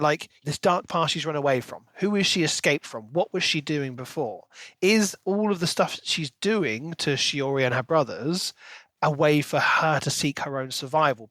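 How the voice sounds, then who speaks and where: A man's voice: on the high side, a bit bright, no room sound. A man co-hosting a podcast, discussing books casually in an articulate, analytical way. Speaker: podcast co-host.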